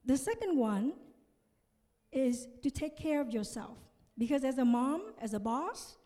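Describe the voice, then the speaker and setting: A woman's voice: high voice, sing-song, measured pace, mellow. A woman in her fifties from Vietnam, a native Vietnamese speaker with a Vietnamese accent, giving an earnest motivational speech and addressing her audience directly. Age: 50s. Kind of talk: motivational conference speech with personal story. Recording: reverberant large hall, stage PA.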